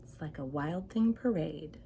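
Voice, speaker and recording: Slow, animated woman reading a storybook. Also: low-pitched, creaky, a little hiss or hum. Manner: dramatic, deliberate phrasing for young children. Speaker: kindergarten teacher reading aloud. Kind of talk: expressive children's picture-book read-aloud.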